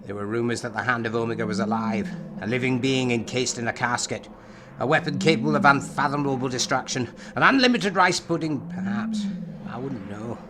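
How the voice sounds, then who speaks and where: A man playing an enigmatic therapist in a fan audio drama, asking probing questions in a formal, measured, wry way. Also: mid-range voice, background noise, natural voice. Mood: curious, wry.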